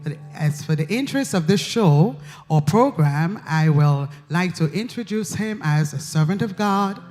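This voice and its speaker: A man co-hosting a faith show, giving a formal introduction slowly and with many hesitant pauses. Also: high-pitched, lively intonation, darkish tone, long reverb tail, soft background music. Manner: slow, halting, many "uh" pauses. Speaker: co-host introducing the host.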